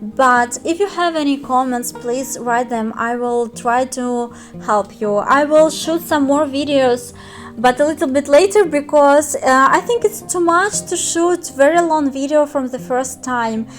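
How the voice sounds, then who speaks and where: Woman, Russian accent, young adult, high voice, hesitant, game tutorial, faint background music. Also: unhurried. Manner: careful, hesitant, frequent fillers. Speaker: game guide youtuber. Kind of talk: screen-recorded game tutorial.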